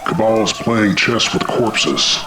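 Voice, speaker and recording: A man playing a grim, world-weary dragon detective, terse and deadpan, in a noir radio play. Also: lowish voice, slow pace. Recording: echoey, noisy background.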